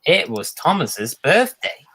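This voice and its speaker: A man's voice: a little high, sing-song, slow pace, bright, forceful tone, slight background noise. A man reading a children's storybook aloud as a narrator. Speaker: storybook reader.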